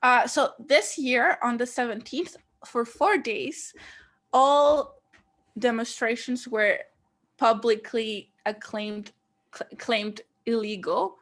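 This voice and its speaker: Greek lady cohost rambling on a call, fillers everywhere, kinda high voice. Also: steady tone, slow pace, fairly bright tone. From Greece. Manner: rambling, many fillers and restarts while explaining. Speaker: podcast co-host. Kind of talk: casual podcast chat.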